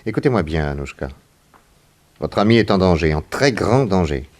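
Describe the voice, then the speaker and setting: Low-voiced, shrewd radio detective. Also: male voice, expressive, normal speed, a little mellow. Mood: firm, pressing. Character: shrewd, composed private detective questioning witnesses and suspects. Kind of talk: 1960s French radio serial acting. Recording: noisy vintage 1960s radio recording.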